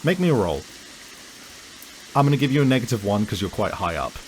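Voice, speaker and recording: Low-voiced man narrating a tabletop game. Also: sing-song, heavy background noise. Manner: halting, searching for words as he describes scenes. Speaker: game master.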